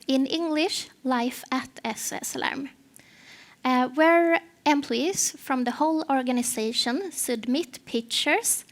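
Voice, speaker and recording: A Swedish-accented woman presents at a conference in English, her second language. Her voice sits high and her delivery is slow, pausing often to search for words. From Sweden. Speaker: conference speaker, HR partner.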